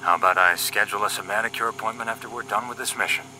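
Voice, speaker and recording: A man's voice: fairly low pitch, steady tone, very fast, bright, forceful tone, a little hiss or hum, poor audio. A man acting as a wisecracking young paramilitary agent in a scripted audio drama, cocky and flippant in his banter. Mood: breezy.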